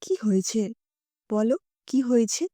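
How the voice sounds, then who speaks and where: A woman's voice: a little high, lively intonation, measured pace, warm tone, no echo, clean studio sound, pitched below the performer's own voice. A woman voicing a troubled, tender young man who speaks earnestly and anxiously in an audio story. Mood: anxious concern.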